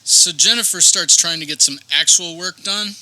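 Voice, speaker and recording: Bright-voiced male radio play narrator. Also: high voice, moderate pace, low-quality recording. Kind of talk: scripted comedic narration.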